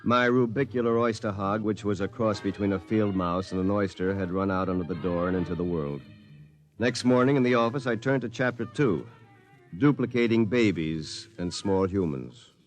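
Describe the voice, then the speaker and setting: Wry lawyer narrator male actor, 1950s radio style: low, deadpan, over background music. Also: moderate pace, close to an everyday voice. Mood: wry, confiding. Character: wry, hapless young lawyer telling his own story. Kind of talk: 1950s radio acting, first-person narration.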